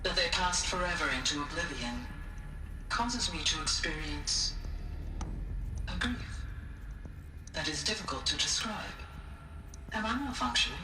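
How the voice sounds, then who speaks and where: A woman voicing a gentle, earnest artificial intelligence with formal, measured phrasing in a video game. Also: fairly low pitch, expressive. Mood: sorrowful wonder. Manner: formal, measured, precise wording. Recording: roomy echo, slightly distant, music behind.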